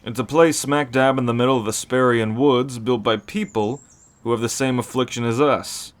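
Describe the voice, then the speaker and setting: A man playing a seasoned, calm werewolf who explains pack ways matter-of-factly in an ASMR roleplay. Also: medium pitch, normal speed, lightly acted.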